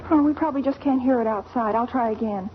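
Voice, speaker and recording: A woman's voice: on the high side, quick pace, close to an everyday voice. A woman playing an earnest, dutiful teenage daughter in a 1940s radio family comedy. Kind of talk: 1940s radio sitcom acting. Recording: dull, faintly hissy old radio recording.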